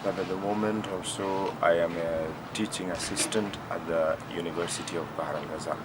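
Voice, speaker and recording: Young teacher, very low voice, measured, heavy outdoor traffic noise. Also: male voice, steady tone. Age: young adult. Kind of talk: interview answers. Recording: loud street and traffic noise.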